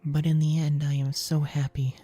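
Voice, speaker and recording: Guy doing a petite timid girl, soft n breathy, wistful, way up high, audiobook drama over music. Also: little pitch movement, normal speed. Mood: tender, bittersweet farewell. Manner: soft, breathy, whispered delivery.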